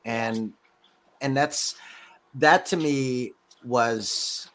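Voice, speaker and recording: A man hosting a casual, joking podcast conversation, telling stories in an animated way and acting out what people said. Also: mid-range voice, very slow. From California. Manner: animated storytelling, acting out quoted remarks. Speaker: podcast host and stand-up comedian.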